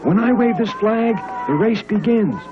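Man voicing a stern, high-pitched race starter. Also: expressive, measured pace, phone-quality sound, a crowd in the background. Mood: impatient. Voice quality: loud, insistent, raised voice. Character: stern impatient male pony-race starter.

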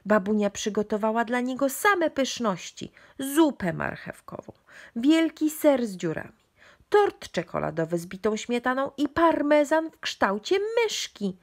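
A woman's voice: on the high side, very animated, measured pace. A woman narrating a bedtime storybook expressively for young children.

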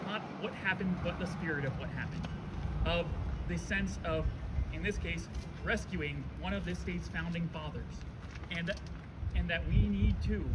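A man on a town board giving a halting public speech at an outdoor commemoration, with many restarts. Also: on the high side, recorded from a distance, very noisy. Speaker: select board member.

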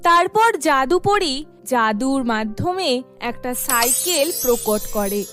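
Female storyteller for children's cartoon tales, high voice, unhurried scripted read, light music behind. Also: lively intonation, a bit bright. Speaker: storyteller narrator.

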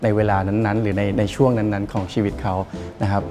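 A middle-aged man seated in a wood-panelled barbershop, explaining his approach slowly with many pauses and fillers. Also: fairly low pitch, flat delivery, music in the background. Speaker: barbershop owner.

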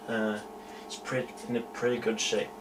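A young man from Norway with a Norwegian accent, showing off a homemade electronics project in a small room at home, explaining it haltingly with many fillers and self-corrections. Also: lowish voice, flat delivery, music in the background, low-quality recording. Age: young adult. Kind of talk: hobbyist electronics demo.

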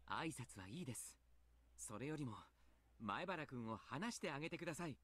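An actor playing a mild-mannered, protective young doctor in a visual novel, speaking politely but firmly. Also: male voice, medium pitch, expressive, fast, fairly bright tone, slightly rough. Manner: polite, firm. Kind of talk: Japanese visual novel voice acting.

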